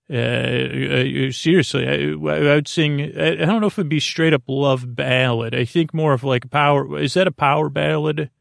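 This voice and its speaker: A man with a creaky voice hosting a sleep podcast, talking in a soothing, meandering monologue that halts and drifts into tangents. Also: medium pitch, no echo, clean studio sound. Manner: meandering, halting, trailing into tangents; soothing, gently lulling. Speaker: podcast host.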